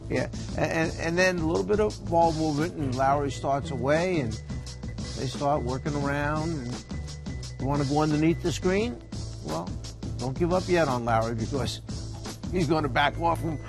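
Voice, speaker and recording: A man in a TV studio breaking down game highlights as an analyst, animated and teasing. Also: moderate pace, gravelly, music in the background, poor audio. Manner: animated, teasing, exclaims at replays.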